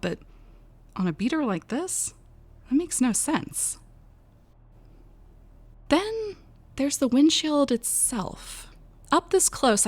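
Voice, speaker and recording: A woman's voice: on the high side, voice pushed up, full character voice. A woman playing an uneasy, wry young female cashier, narrating an audio drama in a wary, conversational first person.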